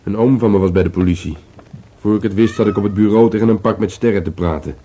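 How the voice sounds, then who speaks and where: An actor playing a wry, reflective police detective in a Dutch radio drama, speaking hesitantly and intimately. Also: male voice, fairly low pitch, fast talker, mellow, breathy edge.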